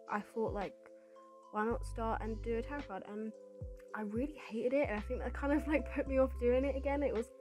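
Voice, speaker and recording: A young woman chatting casually over her art video, a bit congested and raspy from a cold, rambling with fillers. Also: a little high. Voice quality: slightly raspy. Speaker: artist vlogger. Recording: voice-over with music behind.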